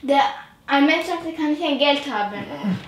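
Female, very high voice, unhurried, casual playful banter, distant echoey bedroom recording. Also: expressive. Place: small bedroom, indoors. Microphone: camera at a short distance. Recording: echoey, distant from mic, noisy.

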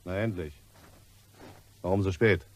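An actor playing a cold, cynical, calculating killer in a German crime radio play, with a husky voice and a sardonic manner. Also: male voice, low voice, measured pace, darkish tone. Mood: impatient, curt. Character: cold, cynical male blackmail victim turned calculating killer.

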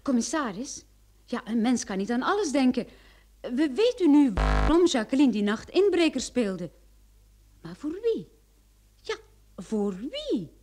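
An actress playing a sharp, confident female private detective in a radio play, explaining things in an assured, theatrical way. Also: sits high, very animated, a little slow, long pauses, heavily acted. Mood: brisk, urgent. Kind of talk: Dutch-language radio drama acting.

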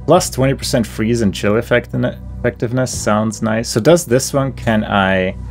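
A man's voice: mid-range voice, moderate pace, faint music behind. A man giving casual video game playthrough commentary, reading out details and thinking aloud in an understated, musing way. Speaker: gaming video host.

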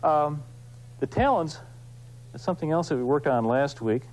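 A man hosting an instructional craft demonstration, explaining informally and in a folksy, unhurried way while he works with his hands. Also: a little high, animated, a little mellow, rough recording. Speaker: woodcarving instructor, show host.

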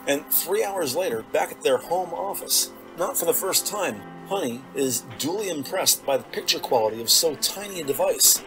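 A man's voice: fairly high pitch, lively intonation, normal speed, few pauses, rough recording. A man reading scripted narration for a detective audio drama. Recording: music under the voice. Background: dramatic score music.

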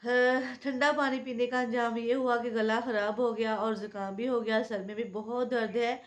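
A woman in her thirties with a Pakistani Urdu accent, chatting through a home vlog and voice-over, hoarse from a sore throat and cold, homely and confiding. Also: on the high side, fairly even intonation, normal speed. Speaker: home cooking vlogger. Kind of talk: casual vlog chat and voice-over. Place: small room at home, indoors.

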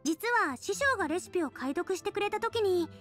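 Bright, high-pitched eager girl cook. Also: expressive, brisk. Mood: cheerful, explaining. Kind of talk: Japanese anime game dub. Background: game music.

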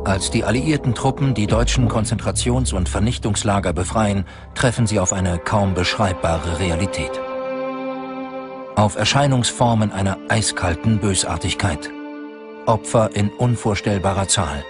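A man's voice: lowish voice, moderate pace. A man reading scripted documentary narration as the narrator. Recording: loud music under the voice. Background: loud music.

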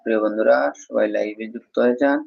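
A man's voice: fairly low pitch, fairly even intonation, fast talker, creaky, darkish tone, no room sound, highs cut off. A man hosting a livestream, greeting viewers and making repeated, formulaic appeals to them. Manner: repetitive, formulaic address to viewers. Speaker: livestream host.

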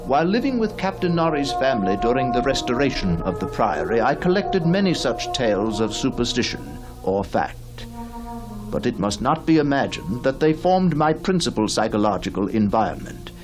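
An actor in a mid-century radio drama playing a cultured, inquisitive gentleman heir, speaking in a formal, measured, theatrical way. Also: mid-range voice, big pitch swings, close to an everyday voice. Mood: proud, reflective. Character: cultured, inquisitive gentleman heir restoring his ancestral home. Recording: old radio drama recording with music behind.

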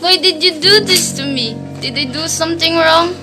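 A woman's voice: extremely high pitch, normal speed, over music. A woman playing a heartbroken, pleading young girlfriend in an amateur radio drama. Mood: pleading, hurt.

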